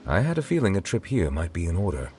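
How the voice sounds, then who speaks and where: An actor voicing a composed chief justice who speaks formally and precisely. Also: male voice, sits low, very animated, a little mellow. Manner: formal, measured, precise wording. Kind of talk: video game dialogue. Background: music.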